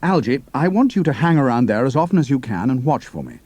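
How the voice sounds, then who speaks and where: An actor playing a cool, capable young pilot and spy, speaking in a clipped, matter-of-fact way. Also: male voice, mid-range voice, very animated, fast, darkish tone, slightly rough, no room sound. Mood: brisk.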